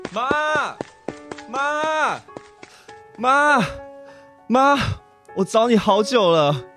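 A man's voice: very high voice, expressive, very slow, sharp tone, soft background music, exaggerated voice. A man with a Taiwanese accent acting a worried, apologetic, patient adult son in a radio drama. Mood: frantic.

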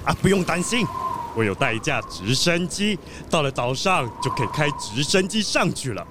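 A man playing a polite, fussy gentleman treasure collector in a children's audio drama, with a courteous, slightly hesitant manner. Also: on the high side, very animated, normal speed, background noise. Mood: reassuring.

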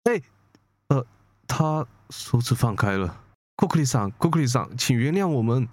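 A woman's voice: rumbling low, expressive, normal speed, a little mellow. A woman playing a frightened student who pleads urgently and hesitantly in a horror radio play. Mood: urgent fear. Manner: hesitant, urgent chanting pleas. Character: frightened student begging a spirit to leave.

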